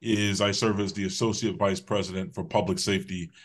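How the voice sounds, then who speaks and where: Middle-aged man, lowish voice, measured guest on a video call. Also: fairly even intonation. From Connecticut. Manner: measured, thoughtful, some restarts. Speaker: guest, public safety official. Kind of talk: interview answers. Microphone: video call webcam.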